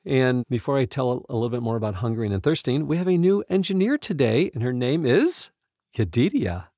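A man hosting a podcast in casual studio chat, speaking in a halting, earnest and enthusiastic way. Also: medium pitch, very animated, mellow, no room sound. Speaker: podcast host. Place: recording studio.

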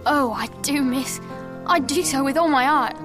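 A woman's voice: high voice, moderate pace, natural voice. An actress playing an earnest, wide-eyed poor young girl in a musical audio drama. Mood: moved, eager. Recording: music under the voice.

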